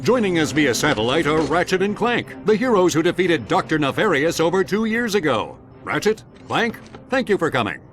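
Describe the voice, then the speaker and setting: Theatrical news anchor, polished, emphatic, slightly high and lively, music underneath. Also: male voice, normal speed, few pauses. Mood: dramatic. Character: slick, overdramatic male TV news anchor. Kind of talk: parody newscast in a video game.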